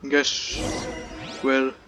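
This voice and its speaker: A man's voice: very slow, over loud music. A man giving casual gameplay commentary as a let's play host, with short, offhand remarks.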